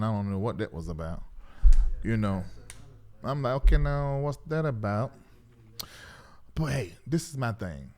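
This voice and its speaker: A man hosting a live call-in talk show, speaking casually in a conciliatory, reflective manner. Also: on the low side.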